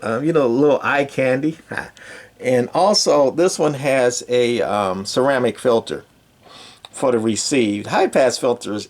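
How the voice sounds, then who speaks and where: An older man with a creaky, gravelly voice at a middling pitch talks like a radio hobbyist at his bench. He rambles in a casual, folksy way, drifting into digressions and dry sarcasm.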